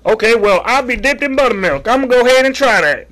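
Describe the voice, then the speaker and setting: A man's voice: extremely high pitch, lively intonation, quick pace, crowd noise. A man voicing a folksy, sheepish husband in a prank call, rambling earnestly over the phone. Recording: phone line audio.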